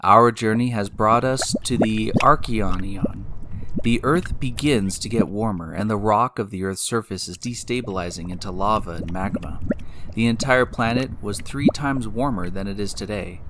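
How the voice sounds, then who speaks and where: A man hosting and narrating a scripted science story in a deliberate, explanatory way, speaking directly to the listener. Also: lowish voice, background noise. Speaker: podcast host and narrator. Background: music.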